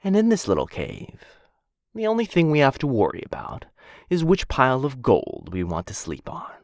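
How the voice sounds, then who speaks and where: A man's voice: mid-range voice, huge pitch swings, normal speed, vocal fry, dry recording, studio quality. A man playing a smug, menacing, possessive dragon captor in a fantasy ASMR roleplay, drawling condescendingly and theatrically. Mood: persuasive, musing.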